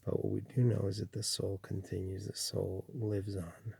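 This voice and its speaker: A man from Chile speaks in a low, deeply gravelly and husky voice, his tone dark and mellow. He muses slowly on spiritual matters, circling back over his words with pauses and restarts. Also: little pitch movement, no room sound. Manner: slow, rambling, with many restarts and fillers. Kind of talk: reflective spiritual monologue.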